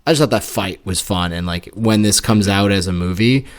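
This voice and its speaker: A man's voice: on the low side, moderate pace. A man co-hosting a casual book club podcast, laid-back and jokey, slipping into folksy voices now and then. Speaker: podcast co-host.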